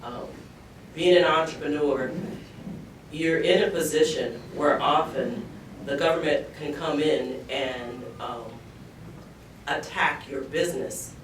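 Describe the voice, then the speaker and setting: A middle-aged man speaking earnestly and deliberately as a panelist, with measured pauses. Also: fairly bright tone. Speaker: panelist, entrepreneur. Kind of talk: earnest panel discussion speech. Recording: echoey room, distant mic, background rumble.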